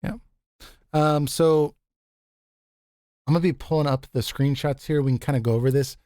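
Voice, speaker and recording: A man with a deep voice hosting a casual group chat, easygoing and quick to laugh, often restarting his sentences. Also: dark tone, dry recording, studio quality. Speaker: podcast host.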